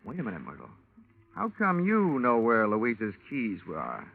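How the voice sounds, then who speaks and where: An actor playing a wry, hard-boiled insurance investigator, dry and wisecracking, in a 1940s radio detective drama. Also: male voice, mid-range voice, huge pitch swings, moderate pace, creaky, full character voice. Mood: firm, wary. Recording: dull vintage radio recording.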